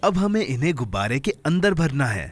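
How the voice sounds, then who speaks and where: A friendly, teasing cartoon sidekick, with a fairly high male voice and very lively intonation. He is encouraging and playful, in the style of a children's cartoon dub, with faint music behind him. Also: fairly quick. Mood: helpful, curious.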